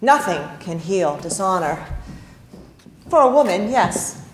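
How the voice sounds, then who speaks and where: A middle-aged woman on a theatre stage playing a proud, cunning Russian lady spy in a deliberate, dramatic, declamatory style. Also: mid-range voice, big pitch swings, soft background music. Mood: impassioned. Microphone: distant camera. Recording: echoey stage from afar, noisy, clicks.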